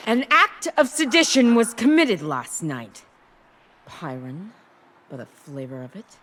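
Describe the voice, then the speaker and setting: Cold, menacing female imperial commander played by a woman, deliberate pace, highly dynamic intonation, audio drama. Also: big pitch swings, fairly bright tone, a little hiss or hum. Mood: cold threat.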